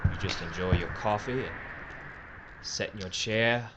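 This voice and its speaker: An actor playing a wry Old West gunfighter in an audio drama, with a sardonic, deliberate manner. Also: male voice, low-pitched. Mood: dry, threatening. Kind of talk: Western audio drama acting. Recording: heavy background noise.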